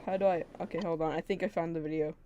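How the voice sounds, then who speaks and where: A woman's voice: low voice, steady tone, rapid-fire, muffled recording, background noise. A woman livestreaming a game with a friend, chatting casually in a pleading, mock-exasperated, giggly way. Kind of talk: casual chat while gaming.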